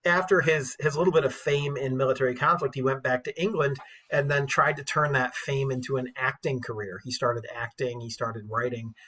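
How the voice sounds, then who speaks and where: A man with a husky voice giving an informal, enthusiastic classroom lecture as an English teacher, telling stories in colloquial language. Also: medium pitch, fairly even intonation, brisk, hardly any pauses, a bit bright, a little hiss or hum.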